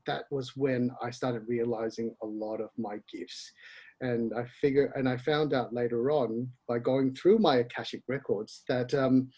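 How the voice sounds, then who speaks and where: Husky middle-aged man, chatty and laughing. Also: mid-range voice, dark tone. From Malaysia. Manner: laughs often, rambling and open. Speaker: founder being interviewed. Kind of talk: casual interview chat.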